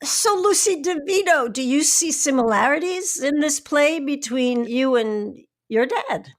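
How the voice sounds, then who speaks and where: A woman's voice: high voice, lively intonation, unhurried. A woman hosting, introducing and interviewing in a scripted, presenting style. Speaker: host.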